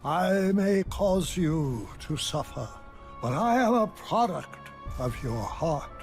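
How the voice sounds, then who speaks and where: An ominous, grandiose game villain, speaks with slow deliberation and a creaky edge. He taunts with calm menace and theatrical swoops, over prominent soundtrack music. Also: fairly high pitch. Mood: solemn menace. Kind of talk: scripted video game cutscene acting.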